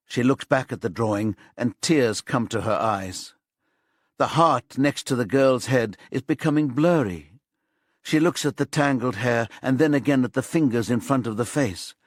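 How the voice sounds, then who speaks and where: A man narrating a thriller audiobook in a measured, suspenseful storytelling manner. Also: mid-range voice, no echo, clean studio sound.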